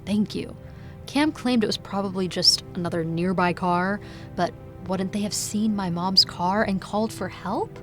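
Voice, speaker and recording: A woman's voice: mid-range voice, fairly bright tone, soft background music, natural voice. Actress as anxious, grieving young woman. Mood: urgent, intent. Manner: halting, sighing, incredulous. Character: anxious, grieving young woman, defensive about her dead father. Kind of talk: audio drama acting.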